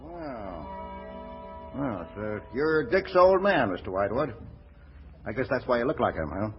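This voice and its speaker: A man's voice: medium pitch, very animated, normal speed, music in the background, close to an everyday voice. An actor playing a brash, streetwise middle-aged ex-racketeer running a brewery, with slangy, stammering tough-guy talk in 1940s radio comedy style. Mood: glib, hustling. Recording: dull, noisy 1940s radio broadcast.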